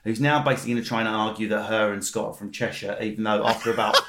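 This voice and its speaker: A man hosting a chatty podcast, excitable and jokey, often stumbling and restarting mid-sentence. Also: lowish voice, racing, reverberant, heavy background noise. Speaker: podcast host. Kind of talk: casual chat.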